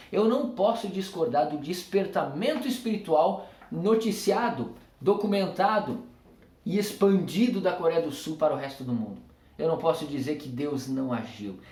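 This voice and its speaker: An earnest Brazilian man speaks to camera with a Brazilian Portuguese accent, leaning into emphatic, repeated phrases like a preacher. His voice sits fairly high for a man. The room gives it a noticeable echo. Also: darkish tone. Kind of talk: reflective tribute talk to camera.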